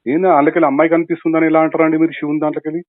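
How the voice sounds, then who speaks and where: Middle aged telangana guy, super fast accusatory questioning, tinny phone line. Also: on the high side, little pitch movement, dry recording. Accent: Telangana Telugu. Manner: accusatory, insistent questioning, interrupts. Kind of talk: confrontational phone conversation. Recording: tinny, narrowband phone call recording.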